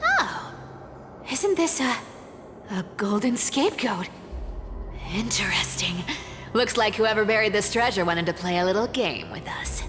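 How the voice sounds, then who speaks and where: A woman's voice: medium pitch, animated, unhurried, long pauses, music in the background, lightly acted. Woman as a sly, swooping-voiced treasure-hunting thief. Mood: playful. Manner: teasing, breezy, dramatic. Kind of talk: video game character acting.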